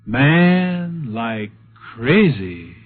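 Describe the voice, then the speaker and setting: A man's voice: medium pitch, big pitch swings, drawn-out words. An actor playing a laid-back beatnik hipster man in an old radio drama, talking in hip slang. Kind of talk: 1950s radio acting. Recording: tinny old radio broadcast with music.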